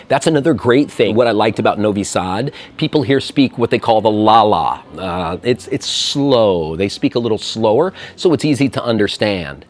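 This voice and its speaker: Chatty Midwestern American man. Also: medium pitch. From Midwestern USA. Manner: chatty, self-deprecating, laughs often. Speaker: interview guest. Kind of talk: casual interview conversation.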